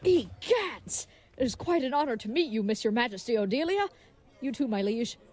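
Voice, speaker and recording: Earnest, high-voiced bumbling knight. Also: female voice, expressive, normal speed, darkish tone. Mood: awed. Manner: rambling, formal, naively courteous. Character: tall, earnest, dim-witted, polite nonbinary knight. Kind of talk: comedic fantasy audio drama acting.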